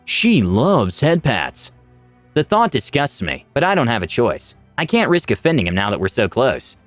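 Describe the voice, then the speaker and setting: Animated man narrating a fantasy tale. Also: mid-range voice, fairly quick, dry recording. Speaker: story narrator. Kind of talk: dramatic scripted first-person fantasy narration. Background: music.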